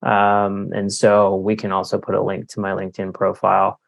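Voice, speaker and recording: A man's voice: sits low, completely flat delivery, moderate pace, creaky. A man from Canada talking casually as an interviewed author, explaining things in a hesitant, wordy way.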